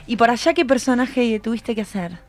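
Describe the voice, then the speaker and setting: A woman's voice: on the high side, normal speed, faint music behind. An Argentine woman hosting a radio show, interviewing students conversationally. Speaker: radio host.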